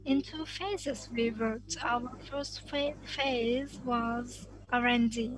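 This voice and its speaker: A woman's voice: a little high, steady tone, slow, low-quality recording. A woman giving live simultaneous interpretation of a conference talk, delivering it phrase by phrase with frequent self-corrections. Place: conference summit.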